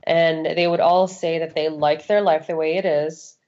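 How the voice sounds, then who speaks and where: A woman from Saudi Arabia with a lowish voice, speaking as a guest in an interview. She is candid and emphatic, repeating key phrases to drive them home. The recording is slightly dull and lo-fi. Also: fairly even intonation, normal speed, darkish tone. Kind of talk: candid personal testimony. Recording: dull, lo-fi sound.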